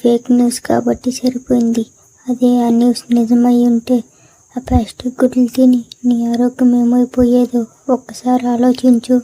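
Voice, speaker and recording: A woman voicing a sly, bossy, teasing talking fruit or vegetable in an animated moral story. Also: a little high, moderate pace, husky, poor audio. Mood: sly, mocking.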